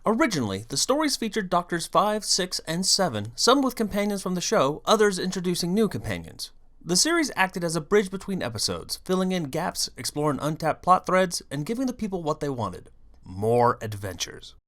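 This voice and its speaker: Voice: mid-range voice, big pitch swings, a little fast, fairly bright tone. A narrator hosting a scripted fan video essay, explaining things emphatically and theatrically, with wry asides.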